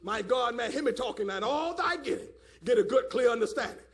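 A man a fiery call-and-response sermon, emphatic and repetitive, often rising to a shout, with a gravelly voice. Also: very high voice, expressive, fast. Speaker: preacher.

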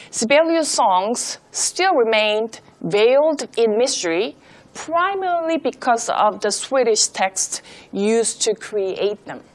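A young woman giving a scripted lecture in a studio, reading from her notes in a measured, deliberate way. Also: on the high side. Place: studio with projected backdrop.

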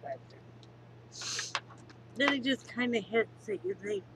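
A woman's voice: on the high side, very animated, slow pace, noisy background. Congested woman vlogging from her couch. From Wyoming, United States. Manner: candid, swears freely, turns somber and quiet. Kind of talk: casual home vlog. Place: living room at home, on a couch. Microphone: webcam at close range.

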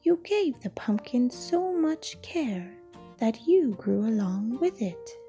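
A woman voicing a kind young boy from a storybook, speaking gently and fondly. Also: fairly high pitch, sing-song, slow pace, soft background music, exaggerated voice.

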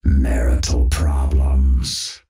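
An actor playing a grim, menacing mercenary villain with a gravelly growl and a deadpan delivery. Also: male voice, very deep voice, echoey room, faint music behind, exaggerated voice. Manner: slow, deadpan.

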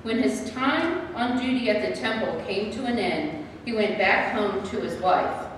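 A woman's voice: mid-range voice, expressive, measured pace. A woman reading scripted scripture narration as the narrator of a church drama. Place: large church sanctuary. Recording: echoey church from far off, faint noise.

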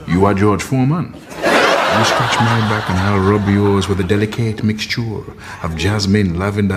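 An actor playing a lecherous, smooth-talking churchgoer who flirts with pompous innuendo. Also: male voice, on the low side, very noisy, natural voice.

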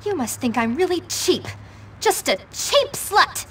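Teen temptress, game: manipulative, sassy, drawling pace, lively pitch. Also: female voice, unhurried, slight background noise, heavily acted. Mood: scornful. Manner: wheedling, scornful. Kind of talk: video game cutscene acting.

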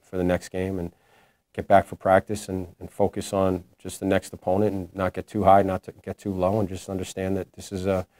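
A man in his forties, a head basketball coach, answering postgame questions in a halting way with many restarts. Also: low-pitched, completely flat delivery, warm tone, no echo. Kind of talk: postgame press conference answers. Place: indoor press room before a logo backdrop. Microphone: lapel mic.

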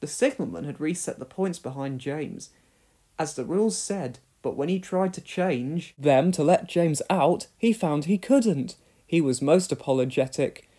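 A man's voice: fairly high pitch, expressive, measured pace, mellow, husky. A man reading a children's storybook aloud as a scripted narration. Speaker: story reader.

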